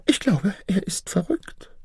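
A man doing a shrill, haughty princess. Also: big pitch swings, measured pace, creaky, a little mellow, slightly rough, dry recording, clean studio sound, full character voice. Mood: haughty commanding. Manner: high-pitched, imperious, wailing when sad. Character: proud, capricious young princess, emperor's daughter.

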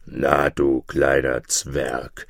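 A man voicing a huge, gruff, gluttonous giant in a storybook, with a booming, blustering, menacing and commanding manner. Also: monotone, drawn-out words, dry recording, clean studio sound, heavily acted. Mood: mocking, demanding.

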